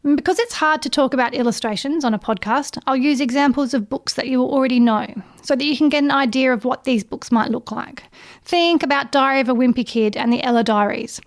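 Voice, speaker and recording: A woman with a fairly high voice presents a prepared children's book review. She explains things clearly and in order, as if reading from notes she wrote. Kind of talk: prepared book recommendation segment.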